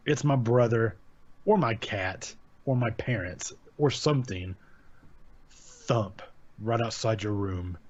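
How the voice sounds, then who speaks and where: A man's voice: medium pitch, a little mellow. A man hosting his channel, reading a short creepypasta aloud in a casual tone and stopping to comment on its grammar errors. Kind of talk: casual story reading with asides. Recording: dull lo-fi sound.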